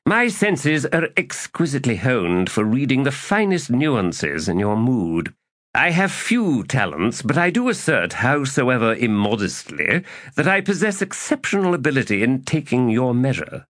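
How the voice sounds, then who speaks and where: A man's voice: mid-range voice, very animated, a little slow, fairly bright tone, no room sound, clean studio sound, pitched above the performer's own voice. A man voicing a whimsical, self-satisfied young king in an audiobook, with florid, mock-pompous phrasing. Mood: playful, theatrical. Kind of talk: audiobook character dialogue.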